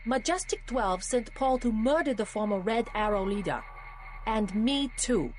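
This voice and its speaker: Sly game villainess, animated intonation, poised, measured, persuasive, faint music behind. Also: female voice, on the high side. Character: sly, poised female Hong Kong politician, secretive schemer. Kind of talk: video game voice acting.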